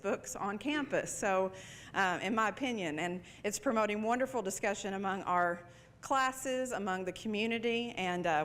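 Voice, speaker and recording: A woman's voice: medium pitch, a bit bright, a little hiss or hum. A woman, a library director, giving a welcome speech at a live campus event, friendly and speaking with many fillers. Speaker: library director introducing a panel.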